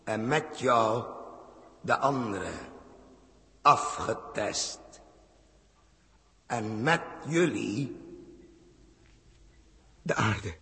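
A man's voice: mid-range voice, lively intonation, drawn-out words, long pauses, pushed and bright, in a large hall, heavily acted. An actor playing a feverish, guilt-haunted man in a theatrical Dutch 1960s radio drama. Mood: brooding, haunted. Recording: muffled, rough 1960s radio recording.

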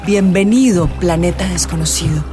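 An actor voices an eccentric astrologer in a radio play. The delivery is theatrical and oracular, teasing and probing, at a medium pitch. Music and soundscape sit clearly behind the voice. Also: lightly acted. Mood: grandly apocalyptic. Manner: dramatic, oracular, drawn-out pronouncements. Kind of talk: theatrical radio drama acting. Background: music, real outdoor soundscapes.

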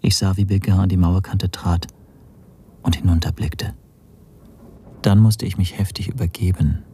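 An actor playing a weary, shaken man who narrates a German radio spy thriller in a measured, hushed, brooding manner. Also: low-pitched, fairly even intonation, slightly rough. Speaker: first-person narrator and protagonist.

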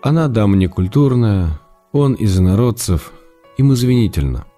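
An actor playing an affectionate, self-restrained husband reading his letters in a radio play, in a measured, wry manner. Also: on the low side, expressive, mellow, faint music behind, natural voice. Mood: wry, reassuring.

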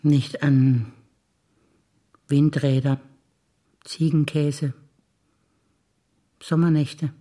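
An older woman, an actress reciting memories in a quiet, measured way on stage. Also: low voice, flat delivery.

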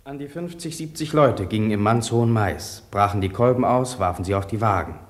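A farmer's son played by a man remembers his lost village in a radio play. He narrates soberly and reflectively in a fairly low voice. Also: fairly quick, slight background noise, lightly acted. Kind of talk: first-person radio drama narration.

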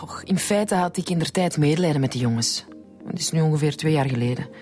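Casting: woman playing plucky, kind-hearted Flemish insurance agent, slightly low voice, radio drama with music behind. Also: fast talker, darkish tone, lightly acted. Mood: matter-of-fact. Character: plucky, compassionate female insurance agent, a past robbery victim. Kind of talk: naturalistic radio drama acting.

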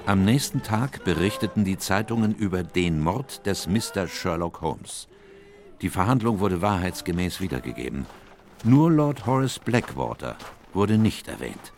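An actor playing a loyal, watchful gentleman who narrates a crime radio drama in a measured, grave way. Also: low voice, animated. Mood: grave, reflective. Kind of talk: scripted first-person radio drama narration.

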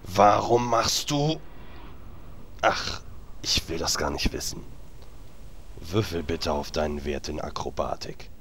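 Very deep, weary game master. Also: male voice, vocal fry, fairly bright tone, a little hiss or hum, full character voice. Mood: exasperated. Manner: weary, impatient, deliberate explaining. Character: exasperated male game master of a tabletop group. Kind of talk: German comedy audio drama acting.

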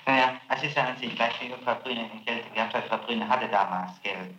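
A man questioning a suspect as prosecutor. His talk is stiff and formal, full of hesitations and "isn't it?" tags. The old tape is muffled and echoey, with a loud hiss underneath. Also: medium pitch. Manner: formal, halting, many fillers and tag questions. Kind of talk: archival interrogation tape.

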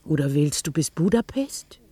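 A woman's voice: low-pitched, big pitch swings, normal speed, warm tone, slightly rough, dry recording, full character voice. An actress playing a fussy, self-righteous older woman in a radio drama, reproachful and insistently well-meaning. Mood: nagging, hurt.

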